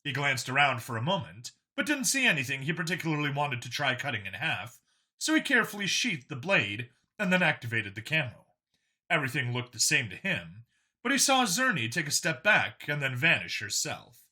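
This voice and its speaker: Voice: mid-range voice, very animated, a little fast, bright, forceful tone, breathy edge. A narrator reading a scripted science fiction story as an audiobook.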